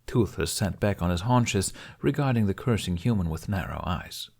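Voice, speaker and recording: A man's voice: low-pitched, lively intonation, moderate pace, darkish tone, slightly rough. A man reading scripted fantasy fiction as an audiobook narrator.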